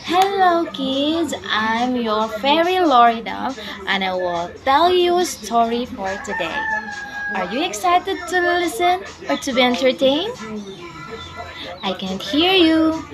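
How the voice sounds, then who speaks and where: A young woman performing a bedtime story for children in a theatrical, deliberate manner. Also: high voice, very animated, over music. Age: young adult.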